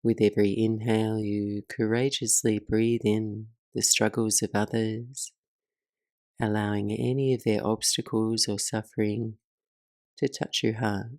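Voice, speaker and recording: A woman guides a meditation in a soothing, very slow voice with plenty of creak at the edges. Her delivery is measured and even, leaving soft pauses between phrases, and the recording is close and dry. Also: a bass voice, no room sound. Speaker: meditation teacher and host.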